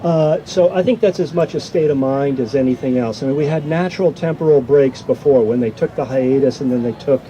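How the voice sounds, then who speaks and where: A man's voice: dark tone, highs cut off. A man speaking as an academic presenter in an informal, conversational question-and-answer style, hedging as he goes. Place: outdoor campground at an amphitheater. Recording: noisy outdoor event, engine-like background rumble.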